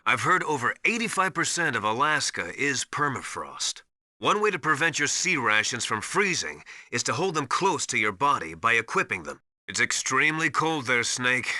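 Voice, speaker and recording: An actor playing a knowledgeable, commanding military mentor in a video game, giving didactic and urgent instructions with emphasis. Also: male voice, mid-range voice, very animated, moderate pace, sharp tone, no echo, clean studio sound. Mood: grave, cautioning. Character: knowledgeable, commanding male military survival mentor called Master. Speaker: radio support advisor.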